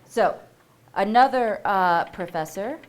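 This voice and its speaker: A woman giving a university lecture, animated and asking the audience questions as she goes. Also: medium pitch, slow pace. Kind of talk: public lecture. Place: lecture hall.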